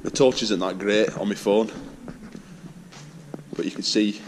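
Young man, lowish voice, chatty walking narration, handheld camera, faint hiss. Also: steady tone, a little slow. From England. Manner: chatty, awed, points things out. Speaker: urban explorer. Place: large empty indoor building. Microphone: handheld action camera.

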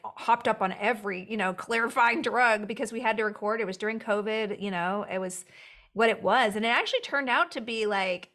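A woman in her forties with a youthful-sounding voice talks into a headset mic from a home room lined with bookshelves. She is chatty and animated and laughs between quick asides. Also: a little high. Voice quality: young-sounding voice. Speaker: actor and writer guest. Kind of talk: casual interview chat.

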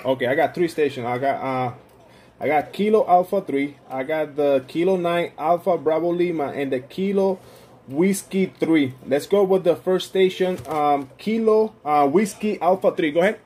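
Higher-pitched man on ham radio. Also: normal speed, darkish tone, breathy edge. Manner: friendly, repeats himself, often says "my friend". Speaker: amateur radio operator. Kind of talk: ham radio contacts, casual exchanges with call signs.

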